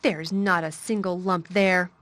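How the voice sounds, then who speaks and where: A woman's voice: fairly low pitch, fairly even intonation, unhurried, fairly bright tone. A woman voicing a fierce, bossy little girl in a temper, scolding and commanding.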